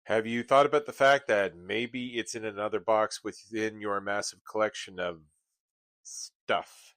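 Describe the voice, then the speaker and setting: A man playing a skeptical, reluctant buddy, the wry straight man in a comedic radio play, questioning in a deliberate way. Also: on the low side, fairly even intonation, fairly bright tone, dry recording.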